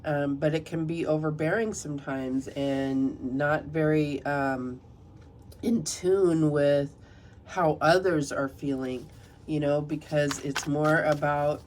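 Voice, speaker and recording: A woman's voice: low voice, steady tone, a little hiss or hum. A woman reading tarot cards and reading passages aloud from a guidebook, slightly nasal from a cold. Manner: soft, unhurried, reads passages aloud. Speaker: tarot reader.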